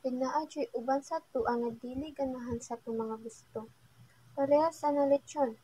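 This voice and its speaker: A woman's voice: sits high, fairly even intonation, normal speed, dark tone, breathy edge, low-quality recording. A woman with a Filipino accent giving casual advice as a radio listener. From Philippines.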